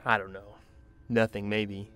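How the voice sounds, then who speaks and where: A man's voice: on the low side, flat delivery, a little slow, pushed and bright, smooth. A man playing a driven, secretive and resourceful research doctor in an audio drama. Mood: subdued, hesitant.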